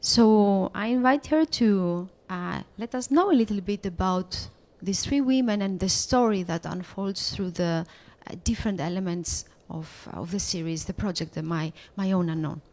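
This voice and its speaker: A woman introducing an artist at an exhibition in formal, deliberate, halting phrases. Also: mid-range voice, a little mellow. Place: exhibition room.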